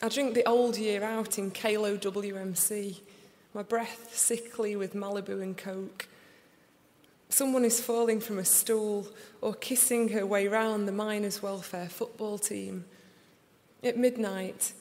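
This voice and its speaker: A young woman with a Sheffield accent reading her poems aloud in a slow, deliberate recital before an audience. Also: mid-range voice, steady tone, darkish tone. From England. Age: young adult. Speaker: poet. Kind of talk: poetry reading. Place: large concert hall. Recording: live reading in a reverberant hall.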